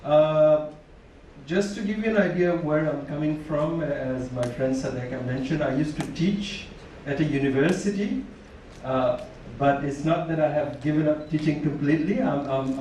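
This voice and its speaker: A man's voice: a little high, moderate pace, a little mellow, slight background noise. A Bangladeshi man whose first language is Tripura, giving a formal, thoughtful conference talk as a panel speaker, with frequent restarts. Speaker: panel speaker, university lecturer. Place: large conference hall. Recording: distant echoey hall recording.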